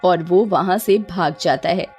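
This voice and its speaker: A woman's voice: on the low side, unhurried, a little mellow, breathy edge, soft background music. A woman narrating a cartoon horror story from a script.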